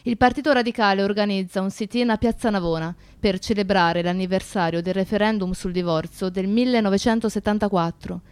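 Female narrator, scripted delivery, crisp voice, medium pitch, dry studio sound. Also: steady tone, a little fast, few pauses, no room sound.

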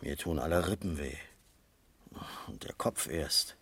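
An actor playing a grumbling, hungover man in a German radio crime drama, groggy and aching, with a husky voice. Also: a bass voice, a little slow, pushed and bright, no room sound, full character voice. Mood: groggy, complaining. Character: grumbling, hungover male drunk brought to police.

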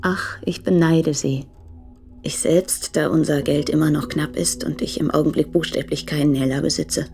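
An actress playing a proud, candid, impoverished young translator in a German radio play, speaking deliberately in a breathy voice. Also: female voice, deep voice, mellow. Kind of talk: German radio play, reading letters aloud.

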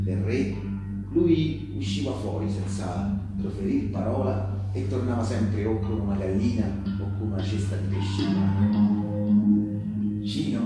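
An actor playing a wartime partisan who recounts his memories in a theatrical monologue, with emphatic repetitions and deliberate pauses. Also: lowish voice, lightly acted. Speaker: theatre storyteller. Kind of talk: live theatrical narrative monologue. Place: live theatre performance with live music. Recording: very echoey stage recording, distant, music behind.

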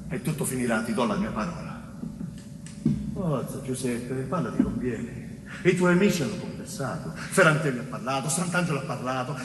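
A man's voice: medium pitch, close to an everyday voice. A male actor playing a manipulative police interrogator who urges a prisoner with false fatherly insistence. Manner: insistent, repeated, falsely paternal urging. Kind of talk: theatrical monologue acting. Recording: echoey hall, clear background noise.

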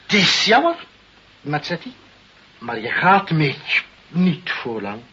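An actor playing a blustering, self-important director in a radio play, speaking pompously and haltingly and repeating himself. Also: male voice, on the high side, very animated, pushed and bright, heavily acted. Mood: stern, pompous. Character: blustering, self-important male director seeking face-saving deals. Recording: muffled old radio recording, light noise.

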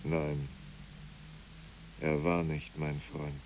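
An actor playing a brooding, grieving man in a poetic German radio drama, speaking in halting, musing phrases. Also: extremely low pitch, level tone, darkish tone, heavily acted, rough recording. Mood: brooding, mournful. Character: brooding, grieving male friend, haunted by memories. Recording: clear tape hiss.

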